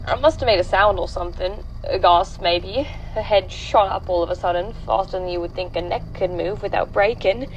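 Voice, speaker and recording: A woman's voice: mid-range voice, moderate pace, few pauses, highs cut off, slight background noise, close to an everyday voice. A woman playing an anxious young woman giving a hesitant, conversational account of frightening, uncanny events in a horror audio drama. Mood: frightened, hushed. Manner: hesitant, conversational, trailing off and self-correcting. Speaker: voice actor in a horror fiction podcast. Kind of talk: naturalistic confessional horror monologue.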